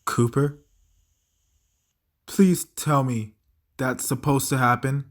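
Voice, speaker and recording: Man acting slow-talking bewildered cop. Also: medium pitch, steady tone, natural voice. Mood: alarmed. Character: foul-mouthed, bewildered police officer facing demons. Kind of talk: amateur audio drama acting.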